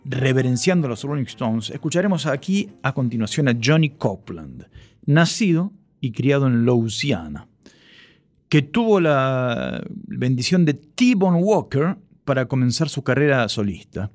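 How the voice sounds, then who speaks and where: A man's voice: mid-range voice, big pitch swings, unhurried, darkish tone, no room sound. A man presenting a music radio show from a script, close to a studio microphone.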